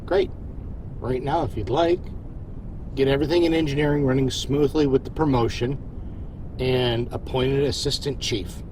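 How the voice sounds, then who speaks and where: A man's voice: medium pitch, fairly even intonation, moderate pace, background noise, lightly acted. A man playing a genial, wry starship captain in a science fiction audio drama. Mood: friendly, wry. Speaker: lead actor in audio drama.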